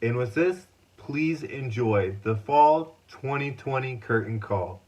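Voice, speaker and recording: A male presenter reads a formal scripted welcome in a medium-pitched voice. He sounds earnest and deliberate, as if introducing a showcase. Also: animated, darkish tone, lo-fi sound.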